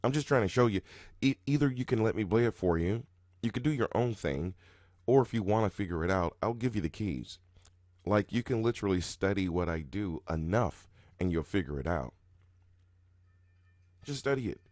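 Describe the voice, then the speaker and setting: Deep-voiced male host, groggy morning voice, rambling with restarts, dry close sound. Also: lively intonation, normal speed, long pauses, dry recording. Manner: rambling, repetitive, many restarts, sudden jumps in pitch and loudness. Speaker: roulette strategy video host. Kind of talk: casual talking-head explanation.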